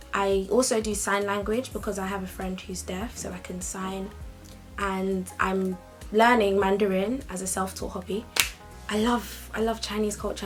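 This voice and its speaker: A young woman, talking casually and upbeat to her camera in a vlog. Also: medium pitch. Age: young adult. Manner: chatty, lively and upbeat. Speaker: vlogger.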